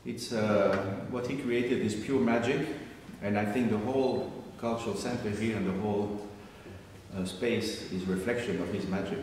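A man in his sixties whose first language is French, speaking English from a concert stage to an audience, halting with fillers and restarts. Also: on the low side, fairly even intonation, noisy background. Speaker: violinist addressing a concert audience. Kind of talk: unscripted speech from the stage. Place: large concert hall stage with live audience. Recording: distant voice in a reverberant concert hall.

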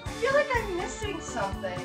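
A woman's voice: extremely high pitch, huge pitch swings, a little fast. A woman in her thirties cooking live in her home kitchen, chatting casually, swearing and laughing at her own chaos. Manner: chaotic, swearing, laughing at herself. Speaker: streamer cooking along with a friend. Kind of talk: casual livestream chat. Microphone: fixed camera across the kitchen. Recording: roomy kitchen sound with music behind.

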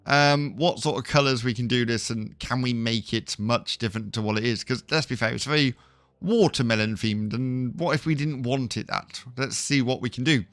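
A man's voice: mid-range voice, moderate pace, fairly bright tone, no echo. A man hosting a casual gameplay video, chatty and self-deprecating, thinking aloud, still getting over a cough. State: recovering from a cough. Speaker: gaming video host.

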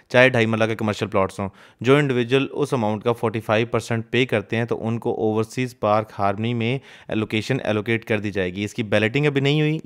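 A man's voice: fairly low pitch, smooth. A man narrating a voice-over of drone footage of a construction site, explaining a map and the building work step by step and mixing in English terms. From Pakistan. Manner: explanatory, walks viewer through map and footage; mixes English terms into his speech. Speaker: real estate consultant.

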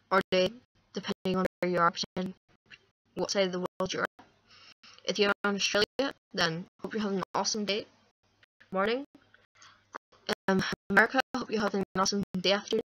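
A woman's voice: mid-range voice, bright, forceful tone. A young woman with a crackly voice, chatting casually and rambling self-deprecatingly into a webcam up close. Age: young adult. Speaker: vlogger. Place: small dim room indoors. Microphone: webcam or laptop microphone up close.

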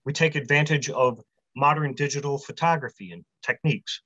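Casting: man in his forties, steady prepared talk, dry, slightly dull webcam sound. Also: mid-range voice, no echo. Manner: measured, list-heavy delivery. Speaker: publisher presenting a convention talk. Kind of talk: prepared talk read aloud. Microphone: webcam on a video call.

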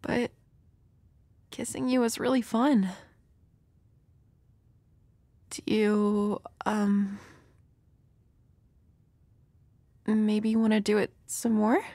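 A woman's voice: mid-range voice, little pitch movement, slow, mellow, no room sound. A woman playing a shy, flustered young woman next door, nervous and hesitant, in an intimate romantic audio roleplay. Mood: nervous, hopeful. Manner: nervous, hesitant, stammering, giggly.

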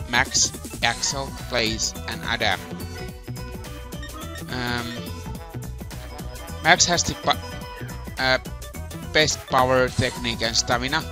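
A young man hosting a casual video game walkthrough, talking in a halting way over game music. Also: medium pitch. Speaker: gameplay video host.

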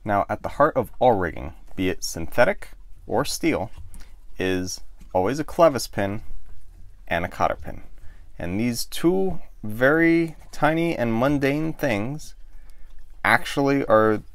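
A man in his thirties calmly explaining how to do something step by step, demonstrating with his hands in a small boat cabin, close to the camera. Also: medium pitch, measured pace, no echo. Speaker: sailing show host. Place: small wood-paneled boat cabin, indoors. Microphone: camera close in front of the face.

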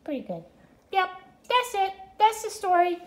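Woman voicing a squeaky chatty pet dog. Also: big pitch swings, normal speed, echoey room. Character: chatty young female pet dog, cheerful, food-loving beggar. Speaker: pretend news host. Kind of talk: pet vlog voiced as the dog. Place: home kitchen.

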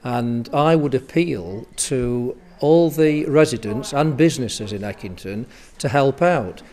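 A man's voice: mid-range voice, unhurried, darkish tone. A man, a local parish councillor making a plain-spoken appeal in an interview indoors. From England. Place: scout hall indoors.

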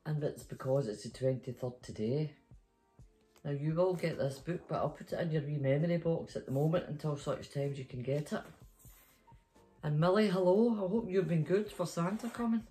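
A woman's voice: deep voice, normal speed, mellow, soft background music, low-quality recording. A middle-aged or older Scottish woman, a grandmother, chatting playfully and reading a story to children in a bedroom. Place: small bedroom, indoors.

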